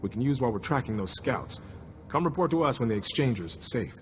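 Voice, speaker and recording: An actor playing a gruff, weary and practical military commander in a video game, brisk and blunt. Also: male voice, mid-range voice, expressive, big-room sound, slight background noise, close to an everyday voice. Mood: anxious. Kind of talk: video game cutscene acting.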